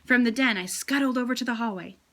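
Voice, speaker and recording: A woman's voice: a little high, fast, bright, forceful tone. A woman voicing a small, eager male pet hamster who tells his adventures in a playful storybook manner.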